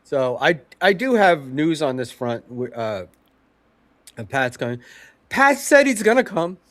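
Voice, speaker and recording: Nasal, stuffy man with deadpan jokes. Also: on the high side, a little slow, no room sound. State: stuffy nose. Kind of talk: casual podcast banter.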